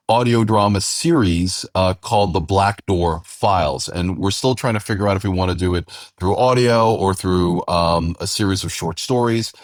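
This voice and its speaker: A man's voice: sits low, no echo, studio quality. A man talking casually as a podcast host, discussing his show in unscripted conversation.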